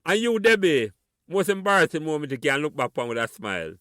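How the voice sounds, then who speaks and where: A Jamaican man hosts a relaxed chat, his pitch bouncing up and down as he puts questions to his guests. His voice is dry and close, with no echo around it. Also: brisk. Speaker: host. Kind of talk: casual interview on a video call.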